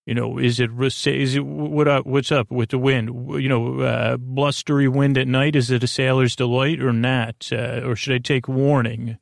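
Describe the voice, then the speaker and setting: Creaky-voiced man rambling, very dry close sound. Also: mid-range voice, little pitch movement, moderate pace, hardly any pauses, dry recording. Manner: meandering, hesitant, keeps losing his thread and doubling back. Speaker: podcast host. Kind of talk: rambling bedtime monologue.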